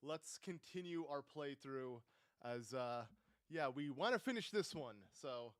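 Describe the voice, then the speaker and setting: Bright-voiced young man streaming a game. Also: mid-range voice, animated, a little slow, clear, resonant tone, no echo, clean studio sound. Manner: rambling, self-correcting, chuckling. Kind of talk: casual live gameplay commentary. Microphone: desk microphone.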